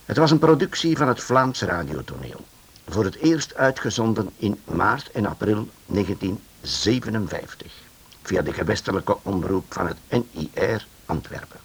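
A man's voice: lowish voice, lively intonation, normal speed, vocal fry, slightly rough. A man reading closing credits as a radio announcer in 1950s style.

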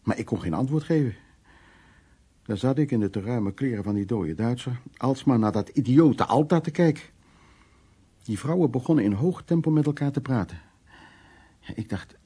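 An actor playing a guarded, teasing man in a radio drama, speaking intimately and a little haltingly with a husky, gravelly voice. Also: on the low side, animated, dark tone. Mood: tense recollection. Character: teasing, guarded man haunted by wartime flight. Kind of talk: naturalistic radio drama dialogue and recollection. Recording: radio play with faint music.

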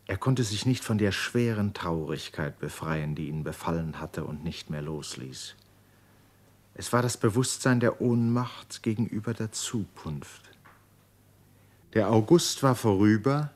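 A man with a deep voice narrates a literary radio play. He reads the scripted prose in a composed, measured manner.

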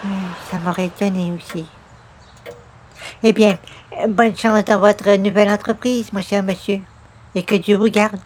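Fussy, pious, overly polite visitor. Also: male voice, sits high, fairly even intonation, unhurried, long pauses, slight background noise. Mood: resigned. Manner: ceremonious, exclamatory, fussy. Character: overly polite, pious, naive male religious door-to-door visitor. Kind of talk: old-time radio comedy acting.

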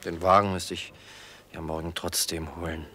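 Smug easygoing salesman guy in a radio play, deep voice, short casual musing phrases. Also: flat delivery, normal speed, bright, forceful tone. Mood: idle musing. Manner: casual, clipped musing to himself. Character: breezy, self-satisfied travelling salesman stranded by a breakdown. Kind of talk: German radio drama acting.

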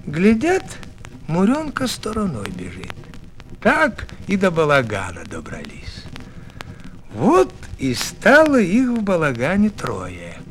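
A man's voice: on the high side, huge pitch swings, slow, fairly bright tone, slight background noise. A man narrating a folk tale expressively, in a folksy, theatrical storyteller's way.

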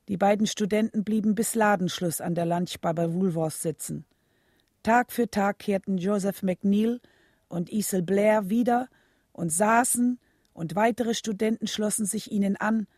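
Mellow and dark in tone, a reflective mother narrating a radio drama. Her mid-pitched voice is measured and serious, with faint music behind. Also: no echo.

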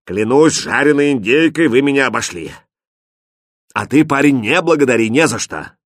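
A man voicing a stout, old, blustering but kindly head cook in a thick bass for a storybook. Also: animated, moderate pace, no echo, studio quality, close to an everyday voice. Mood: vexed. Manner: loud, blustering, groaning.

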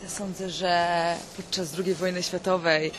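A woman's voice: on the low side, level tone, unhurried, noisy background. A woman with a Polish accent, a passer-by giving casual, playful answers in a street interview. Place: busy city street. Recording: very loud crowd, off-mic street.

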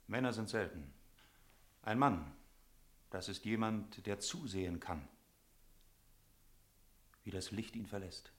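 An actor playing an eerie, mystical stranger from Masuria in a radio drama, speaking in solemn, poetic phrases. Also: male voice, on the low side, takes it slowly, pauses often, a bit bright, breathy edge, no room sound, heavily acted. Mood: solemn, urgent. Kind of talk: German radio drama acting.

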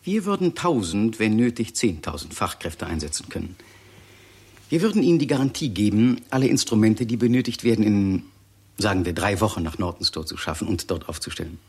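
An actor playing a courteous, diplomatic state secretary in a 1960s radio play, speaking in a polite, measured, persuasive way. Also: male voice, lowish voice, expressive, a little mellow, slightly rough. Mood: assured, polite.

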